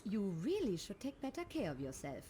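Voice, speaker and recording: An actress playing a caring, gently exasperated combat medic in a video game. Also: female voice, mid-range voice, huge pitch swings, brisk, a little hiss or hum. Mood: gentle reproach.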